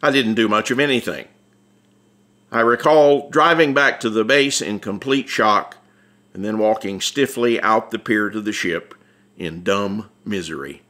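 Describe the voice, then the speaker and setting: A man's voice: fairly low pitch, a little slow, fairly bright tone. An American man reading his own memoir as an audiobook, in a wry, conversational storytelling manner. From Atlanta, United States. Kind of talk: scripted first-person narration, conversational memoir.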